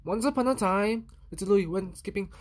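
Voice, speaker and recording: A woman reading a children's picture book aloud, with a halting delivery and occasional stumbles. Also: mid-range voice. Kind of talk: children's story read aloud.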